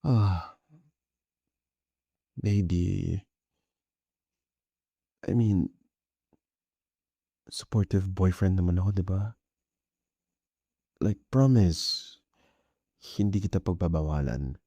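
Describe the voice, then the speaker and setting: Man acting a low, slow, jealous Filipino boyfriend. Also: gravelly, no echo, heavily acted. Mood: sulky, jealous. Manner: soft, hesitant, Taglish code-switching. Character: jealous, clingy, soft-hearted young boyfriend, playfully insecure. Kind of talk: intimate boyfriend roleplay ASMR.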